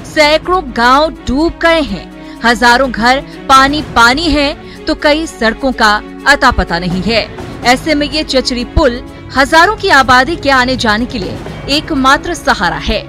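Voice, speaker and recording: A woman with a high voice reads a scripted news report in a measured, formal manner. Soft music runs faintly under her studio voice-over. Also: animated.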